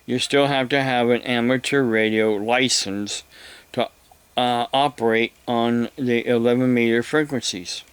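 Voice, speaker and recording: A man giving a rambling, casual explanation to viewers, halting and repeating himself as he goes. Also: medium pitch, steady tone, clean tone, dry recording. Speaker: vlogger.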